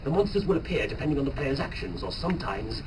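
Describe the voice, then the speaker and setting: A man's voice: medium pitch, sing-song, fast, close to an everyday voice. A man playing a sinister, formal game master villain in a theatrical, menacing live manga reading. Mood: explaining. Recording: noisy live convention recording, far from mic.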